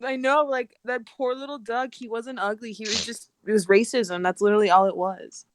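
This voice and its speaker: A woman's voice: a little high, fairly even intonation, a little fast, no echo. A woman chatting casually with friends in an online voice chat.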